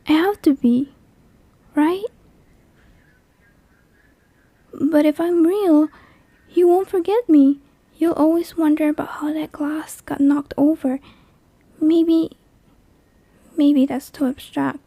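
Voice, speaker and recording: A woman voicing a lonely, clingy female sleep paralysis demon in an ASMR roleplay, soft and breathy, hesitant and intimate, often near a whisper, close to the microphone. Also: high-pitched, no room sound. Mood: wistful, fearful. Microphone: close microphone, dry.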